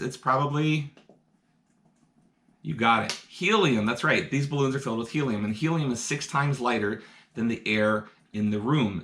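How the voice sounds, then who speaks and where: A man's voice: on the low side, a bit bright, roomy sound. A man teaching science through a live demonstration, upbeat and explaining each step for kids indoors. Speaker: science educator. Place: indoor room.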